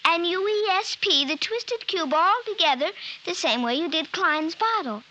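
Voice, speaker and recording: A woman's voice: very high-pitched, lively intonation, moderate pace, sharp tone, muffled recording, a little hiss or hum, exaggerated voice. A woman playing a precocious, defiant five-year-old girl in 1950s radio drama, with a childlike, matter-of-fact delivery. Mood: earnest explaining.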